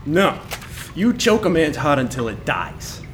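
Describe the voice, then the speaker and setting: A man's voice: a little high, very animated, unhurried, slight background noise. A man playing a bitter, grumpy dock boss in a noir radio drama, curt, surly and folksy. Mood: grudging, irritated. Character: grumpy bitter man guarding the docks, gruffly resentful.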